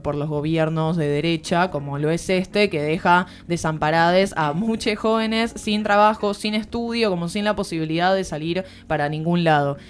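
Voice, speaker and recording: A woman with an Argentine accent giving a conversational book review as a radio columnist, explaining earnestly and searching for words. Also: fairly low pitch. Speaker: literary columnist on a radio show. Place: radio studio.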